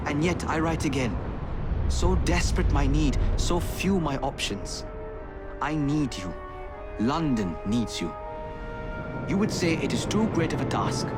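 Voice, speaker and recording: An actor as a grave assassin's pleading letter. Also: male voice, medium pitch, lightly acted. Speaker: assassin writing a letter, narrating. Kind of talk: dramatic game voice-over. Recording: voice-over under loud score.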